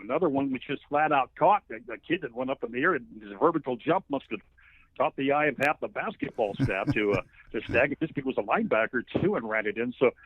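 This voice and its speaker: A male play-by-play broadcaster as an interview guest, giving conversational football analysis in a folksy, halting, emphatic storytelling way. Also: fairly high pitch, no echo. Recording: thin narrow phone-like line.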